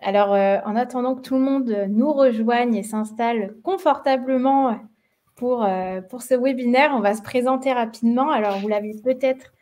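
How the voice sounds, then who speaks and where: A woman's voice: fairly high pitch, moderate pace, dark tone. A young woman from France with a Parisian accent, hosting a webinar and talking with many hesitations and fillers. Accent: Parisian French. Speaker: marketing webinar host. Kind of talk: webinar presentation.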